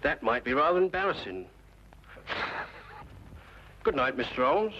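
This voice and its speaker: A man's voice: a little high, sing-song, a little slow. An actor playing a respectful, dutiful male police sergeant reporting formally in an old-time radio drama. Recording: dull old radio recording, faint noise.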